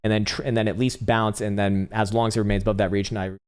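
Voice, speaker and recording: Fast, profane man, a bit hoarse. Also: lowish voice, flat delivery. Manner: rapid, profane, laughs often, restarts words. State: losing his voice. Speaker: livestream host, crypto chart analyst. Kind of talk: casual live-stream chart commentary.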